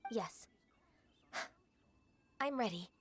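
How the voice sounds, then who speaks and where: A dutiful young half-human immortal from an anime game. The voice is high and expressive, gentle and earnest, with music clearly behind it. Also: female voice, very slow. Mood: apologetic, earnest. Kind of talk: anime-style video game voice acting.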